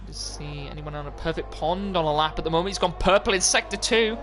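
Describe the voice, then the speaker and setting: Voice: mid-range voice, quick pace, a bit bright, soft background music. A commentator giving live play-by-play of an online motor race on a stream. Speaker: esports racing commentator.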